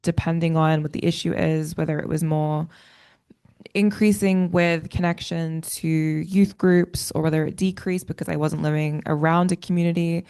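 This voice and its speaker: A woman's voice: sits low, fairly even intonation, normal speed, warm tone, smooth. A young woman, a law student, answering as a panel guest, hesitant with many fillers. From Australia and Sri Lanka. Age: young adult.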